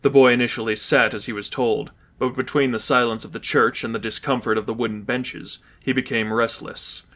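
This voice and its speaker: A man's voice: mid-range voice, level tone, a little fast, a bit bright. Man narrating a fan fiction audiobook.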